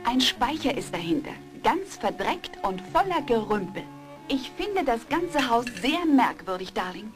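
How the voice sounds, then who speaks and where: A woman playing a curious, affectionate wife in a German audio drama, with a gentle, coaxing manner. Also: a little high, sing-song, a little slow, music in the background. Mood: curious, excited. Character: curious, affectionate wife encouraging her struggling writer husband.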